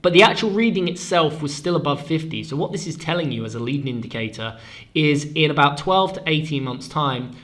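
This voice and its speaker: A man of middle pitch talks through a weekly currency forecast with a stuffed-up voice from a cold. He explains briskly and stresses the key words. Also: a bit bright. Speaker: forex analyst and show host. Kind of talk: weekly market forecast talk.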